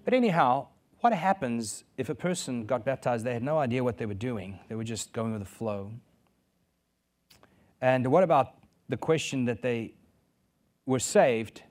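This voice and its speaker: A man preaches a sermon in English, earnest and direct. He leans on repetition, saying key phrases twice to press his point home. His voice sits at an ordinary middle pitch for a man. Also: expressive, moderate pace, a little mellow. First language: Afrikaans. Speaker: pastor.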